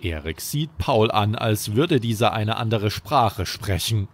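Bedtime story host: male, slightly low pitch, slow scripted narration for children. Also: animated.

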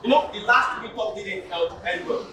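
A man's voice: high-pitched, moderate pace, pushed and bright. A young man with a Nigerian accent playing a preachy, insistent friend in a stage play. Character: Ebuka, young man who quotes the Bible. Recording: echoey hall, far camera mic.

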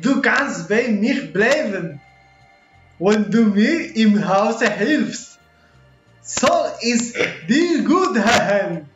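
Female performer as magical storybook old woman, stern and kindly, extremely slow delivery, echoey with music behind. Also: a little high, expressive, dull audio. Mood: inviting, instructing. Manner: slow, drawn-out, deliberate.

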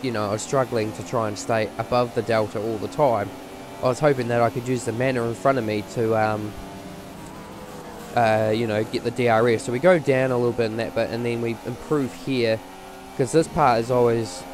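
A man's voice: mid-range voice, fairly even intonation, brisk, background noise. A man with a voice strained from a cold, giving rambling, casual commentary over a racing game with many fillers.

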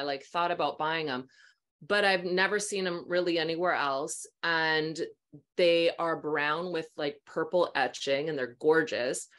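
A woman in her forties with a slightly low voice tells a story in a lively, chatty way over a video call. She speaks from a small room at home into a webcam mic and slips into voices as she retells what people said. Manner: animated, chatty, acts out voices in her stories. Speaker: host, sound healing practitioner.

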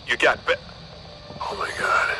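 An actor playing an anxious, eager government doctor in a video game, urgent and halting. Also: male voice, background noise, lightly acted. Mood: amazed. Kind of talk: video game cutscene acting.

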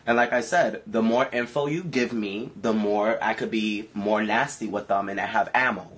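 A man's voice: on the low side, moderate pace. A young man in his twenties, casually chatting on a home livestream in a brash, profane, campy way. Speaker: livestream co-host. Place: living room at home.

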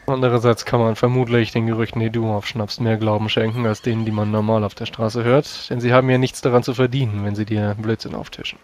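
A man speaking in character in a tabletop role-playing game, with formal, measured phrasing. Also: lowish voice, flat delivery, darkish tone. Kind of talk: in-character improvised game dialogue.

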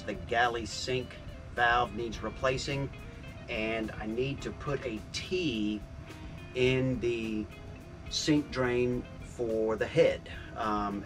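An older man speaks at an ordinary mid-range pitch as he chats about his gear. His manner is relaxed and upbeat, with easy fillers. He is recorded by a camera a few feet off inside a small boat cabin, and music plays clearly under his voice. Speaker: sailing vlogger. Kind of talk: casual vlog update. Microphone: camera on a tripod a few feet away.

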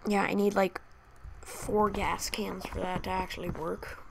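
A woman talks through her game in a relaxed, thinking-aloud way, as if chatting to her viewers. Her voice sits at a middle pitch with a noticeable gravelly fry, and she speaks into a new desktop microphone. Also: a little slow, background noise. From Canada. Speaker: gaming channel host. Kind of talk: casual gameplay commentary.

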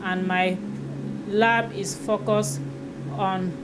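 A middle-aged woman from Cameroon, an associate professor, calmly explaining her lab's research to camera in a laboratory. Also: medium pitch, music in the background. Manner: measured, explanatory, careful pauses. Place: indoor lab with shelves of bottles.

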